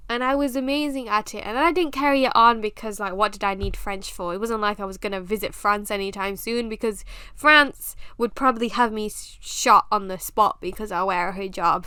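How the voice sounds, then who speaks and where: A woman's voice: fairly high pitch, sharp tone, clear, resonant tone, no echo, studio quality. A young British woman with a British accent, hosting a podcast and talking candidly, with self-mocking humor, in a casual monologue. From England. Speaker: podcast host.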